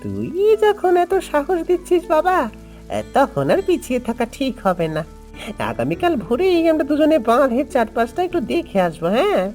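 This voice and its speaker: A woman voicing a worried, weary village father in an animated cartoon story, with an expressive, fretful delivery. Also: high voice, moderate pace, soft background music. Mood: resolved.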